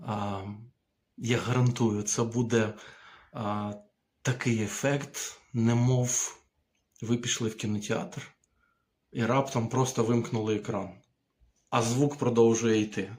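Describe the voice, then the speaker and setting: A man giving an informal recorded first reaction as an author, thoughtful and halting. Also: medium pitch, steady tone, darkish tone. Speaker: book author.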